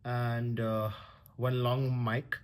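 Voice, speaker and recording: A man giving casual, halting commentary as a vlogger unboxing a product. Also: mid-range voice, flat delivery.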